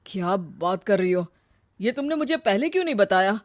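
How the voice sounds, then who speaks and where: A woman voicing a charming, persuasive young man in a cartoon story, talking quickly and softly. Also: mid-range voice, expressive, heavy background noise, lightly acted. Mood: concerned. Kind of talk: Hindi cartoon story voice acting.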